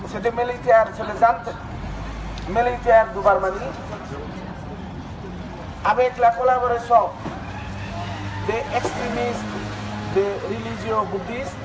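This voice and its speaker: A man addresses a street protest in a high, unhurried voice. He halts and restarts a lot, speaking with earnest weight. The recording is noisy, with the hum of a city street behind him. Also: fairly even intonation, a crowd in the background. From Bangladesh. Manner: halting, earnest, many fillers and restarts. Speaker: human rights association president. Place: outdoors on a city square at a protest.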